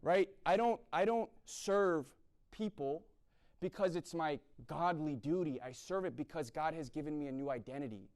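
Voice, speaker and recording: A man's voice: fairly high pitch, moderate pace, darkish tone. A young man from the East Coast of the US giving a blunt, sermon-style talk and often checking in with "right?". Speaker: speaker at a university chapel event.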